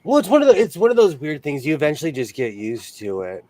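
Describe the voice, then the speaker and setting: A man's voice: a little high, huge pitch swings, quick pace, warm tone, slight background noise. A man hosting a livestream in casual, jokey chat, often breaking off and restarting his stories. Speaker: host of a sewing livestream.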